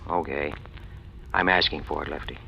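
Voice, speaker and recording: An actor playing a cool, wry small-time crook in clipped, dry, hard-boiled old radio noir style. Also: male voice, extremely low pitch, steady tone, normal speed, creaky, slight background noise, heavily acted. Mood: cool, guarded. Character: cool, wry small-time crook and informer, narrating his own story. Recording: muffled old radio recording, music behind.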